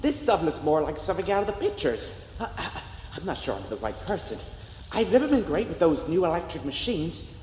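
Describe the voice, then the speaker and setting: A nervous, highish-voiced young assistant. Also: male voice, sing-song, brisk, a little mellow. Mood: bewildered, nervous. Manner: hesitant, bewildered. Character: nervous, naive male lab newcomer. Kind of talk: sci-fi comedy audio drama acting. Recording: echoey hall, faint background noise.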